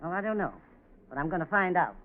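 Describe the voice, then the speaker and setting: An actress playing a curt, sarcastic, middle-aged farm widow and scolding aunt in a 1940s radio drama. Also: female voice, fairly low pitch, sing-song, quick pace, a little hiss or hum. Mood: resigned, grumbling. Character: stern, hard-working widowed farm owner, scolding aunt. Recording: muffled, tinny 1940s radio broadcast.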